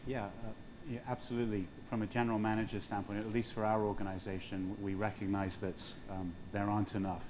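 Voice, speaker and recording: Measured middle-aged man on a panel. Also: lowish voice, level tone, background noise. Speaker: hotel general manager, panelist. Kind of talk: conference panel discussion. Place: large conference hall stage. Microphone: lapel mic.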